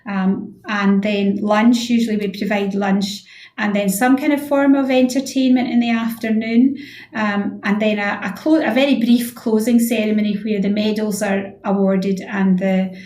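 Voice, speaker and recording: A woman's voice: medium pitch, fairly even intonation, moderate pace, darkish tone. An echoey recording of a woman with a mid-range voice, talking as a guest on a radio chat show. She explains her work informally and often affirms what she is asked. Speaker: head of physiotherapy, interview guest. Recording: echoey room sound.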